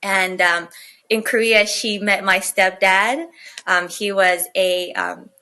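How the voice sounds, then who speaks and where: Bright-voiced woman, chatty. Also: medium pitch, expressive, slow. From Kazakhstan. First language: Russian. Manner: chatty, full of "like" and "you know" fillers. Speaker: guest rapper. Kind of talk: casual interview storytelling.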